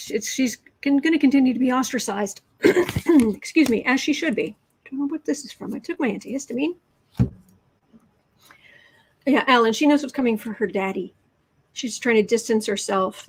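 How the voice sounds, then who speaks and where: A woman hosting a political tarot livestream who reads out cards and viewer comments in a chatty, sarcastic way, often restarting her sentences and clearing her throat. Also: fairly high pitch, fairly quick, rough recording. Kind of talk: casual livestream commentary while reading cards and chat.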